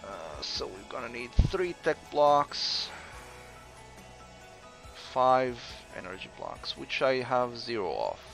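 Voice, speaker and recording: A man from Croatia with a mixed Croatian accent, chatting casually while streaming a game and answering viewers, with frequent pauses. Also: medium pitch, very animated, vocal fry, a bit bright, faint music behind. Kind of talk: casual gameplay commentary.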